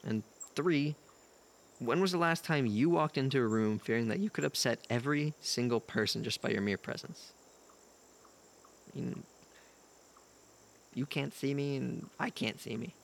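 A man playing a gentle, self-doubting young misfit in an audio drama, earnest, hesitant and apologetic. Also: mid-range voice, normal speed, creaky, pauses often, lightly acted. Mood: wounded sincerity.

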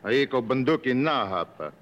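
An actor playing a proud, mysterious African wanderer, terse and aloof, in 1950s radio drama style. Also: male voice, mid-range voice, lively intonation, moderate pace, close to an everyday voice. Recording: muffled, noisy old radio broadcast.

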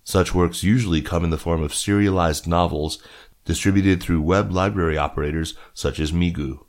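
A man's voice: sits low, fairly even intonation, normal speed. A man reading scripted business news as a podcast host.

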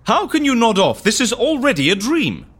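An actor playing an eccentric, playful man in charge in an audio comedy drama, animated and whimsical. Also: extremely high pitch, breathy edge, exaggerated voice. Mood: brisk. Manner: animated, whimsical, quick to switch tone.

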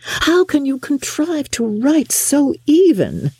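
Woman playing a gushing, affected young society lady, high-pitched. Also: wildly swooping pitch, slow, a little mellow, no echo, clean studio sound, exaggerated voice. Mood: gushing flattery. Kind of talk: audiobook character acting.

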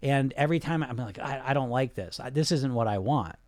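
A man's voice: mid-range voice, lively intonation, fairly quick, darkish tone, dry recording. Emphatic man coaching in a dry voice. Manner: conversational, emphatic, many "you know" fillers. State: sniffling. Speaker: podcast host and weight-loss coach. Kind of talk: casual monologue.

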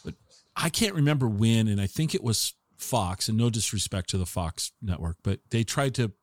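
Low-voiced American man, casual interviewer. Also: lively intonation, normal speed, no room sound, studio quality. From Tacoma, Washington, United States. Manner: rambling, self-interrupting questions and anecdotes. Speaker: interview show host.

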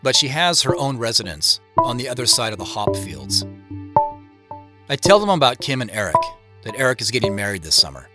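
Audio drama narrator male actor: guarded, introspective man, measured confessional tone, expressive intonation, music prominent underneath. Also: mid-range voice, close to an everyday voice. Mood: reflective. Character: introspective adult man narrating his homecoming, guarded, melancholy. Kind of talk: first-person scripted drama narration.

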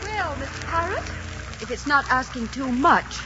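A woman's voice: high voice, lively intonation, normal speed. An actress playing a spirited, haughty young lady held captive, in a 1940s radio drama. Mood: indignant. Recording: dull old radio recording, music behind.

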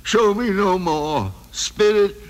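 An actor playing an emotional old miser in an old-time radio drama. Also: male voice, sits high, slow pace. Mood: anguished pleading. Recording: old radio broadcast with music.

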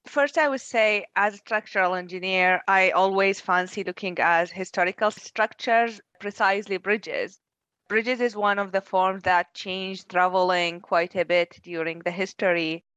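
A woman's voice: mid-range voice, steady tone, fairly bright tone, dry recording, low-quality recording. A woman from Iraq, a structural engineer, speaking thoughtfully as a podcast guest. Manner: thoughtful, measured, some restarts. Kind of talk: casual chat.

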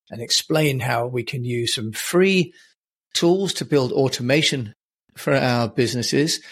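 A male podcast host running an interview in a friendly, measured way, dotting his questions with "you know". His voice has a husky edge at a middling pitch. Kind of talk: interview hosting.

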